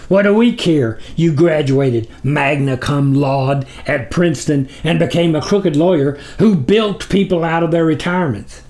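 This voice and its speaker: A man's voice: unhurried, few pauses, a little mellow, natural voice. A man voicing a cruel, impatient demon overlord for an audiobook story, roaring pompous insults with thunderous disdain. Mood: angry, mocking. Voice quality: booming, roaring.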